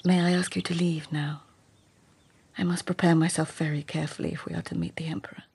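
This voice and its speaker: Low, creaky, poised court lady. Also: level tone, fast talker, darkish tone, no room sound, heavily acted. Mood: composed, polite. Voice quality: husky, creaky. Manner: poised, precise, detached. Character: cool, sharp-minded lady-in-waiting and poet of imperial court. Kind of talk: radio drama acting and literary narration.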